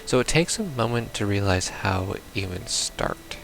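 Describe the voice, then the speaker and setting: A man's voice: fairly low pitch, animated, moderate pace, gravelly, a bit bright, a little hiss or hum. A man playing a visual novel, reading its text aloud casually with baffled, blunt asides. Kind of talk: casual let's play, reading game text aloud with confused reactions.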